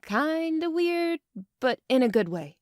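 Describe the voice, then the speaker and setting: A woman's voice: high voice, very animated, takes it slowly, dry recording, clean studio sound, exaggerated voice. A woman playing a friendly, chatty wasteland patroller in an audio drama, soothing and familiar in manner. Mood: reassuring. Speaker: voice actor.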